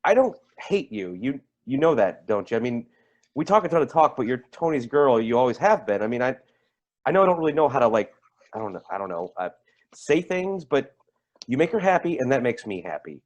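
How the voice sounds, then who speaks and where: A man's voice: medium pitch, very animated, brisk, a little mellow, dull audio. An actor in a staged play reading, playing a tactless, defensive man who stammers and repeats himself. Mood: awkward, earnest. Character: tactless, defensive man, rambling and awkward, named Timothy.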